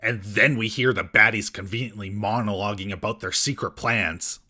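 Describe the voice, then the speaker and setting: Sarcastic man with husky, forceful voice. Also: lowish voice, steady tone, normal speed. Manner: sarcastic, mocking. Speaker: comedic film reviewer and host. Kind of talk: scripted comedic recap narration.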